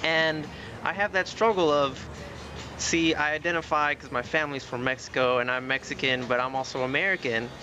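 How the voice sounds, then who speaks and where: A man's voice: a little high, fairly even intonation, normal speed. Candid man from Austin, street noise. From Austin, Texas. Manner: candid, reflective. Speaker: panel guest. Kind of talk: casual discussion.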